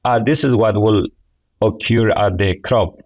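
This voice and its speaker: A man's voice: fairly low pitch, a little slow, darkish tone, no room sound. A middle-aged man from Honduras with a Honduran Spanish accent, explaining things as an interview guest on a video call. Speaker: guest, poultry science professor. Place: small indoor office, video call. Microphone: wireless earbuds on a video call.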